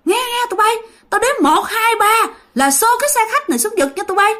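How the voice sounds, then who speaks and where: A woman voicing a ringleader among a gang of ghosts, barking shrill commands. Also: big pitch swings, brisk, full character voice. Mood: menacing. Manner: shouted, high-pitched commands. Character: bossy gang of night ghosts egging each other on.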